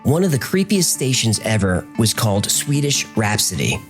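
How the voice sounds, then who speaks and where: Expressive male documentary narrator over music. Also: on the low side, normal speed. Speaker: podcast host narrator.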